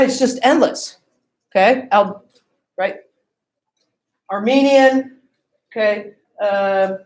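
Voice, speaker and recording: A woman's voice: mid-range voice, echoey room, low-quality recording. A woman giving a classroom lecture, often asking the class questions and checking in with "okay?" and "right?".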